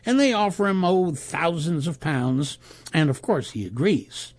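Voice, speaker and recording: Man playing rambling, high-pitched jovial reporter telling jokes. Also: lively intonation. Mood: amused, rambling. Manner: halting, rambling raconteur with asides. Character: jovial wire-service reporter spinning a barroom joke.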